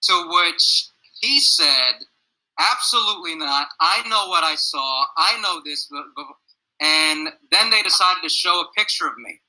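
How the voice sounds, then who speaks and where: Young guy teacher on a video call, earnest and reflective, talks slow, bright slightly high voice. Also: animated, low-quality recording. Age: young adult. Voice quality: gruff. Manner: earnest, reflective, asks students questions. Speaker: middle school teacher. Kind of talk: classroom discussion on a video call, personal story.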